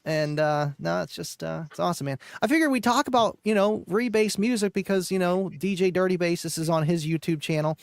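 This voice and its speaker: A man's voice: high-pitched, a little fast, vocal fry, hardly any pauses, no echo. Easygoing man with a dry close sound. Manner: relaxed, rambling with many fillers. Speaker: live stream co-host. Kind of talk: casual chat about car audio.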